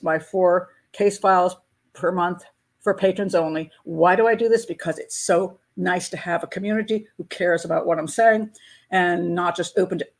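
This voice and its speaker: Older woman chatting candidly. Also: on the low side. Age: 60s. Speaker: host of a crime-profiling channel. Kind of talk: casual live chat with patrons.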